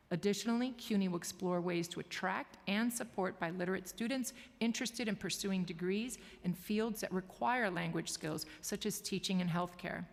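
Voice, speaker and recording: A woman's voice: lowish voice, creaky. A woman university administrator reading prepared testimony formally in a council chamber. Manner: formal, read from a statement. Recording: long reverb of a large hall.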